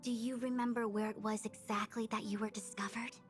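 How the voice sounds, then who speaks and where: A woman's voice: fairly high pitch, little pitch movement, fairly quick, faint music behind, close to an everyday voice. An actress playing a composed, thoughtful young woman who guides others in a video game, in scripted voice acting. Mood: calm, thoughtful.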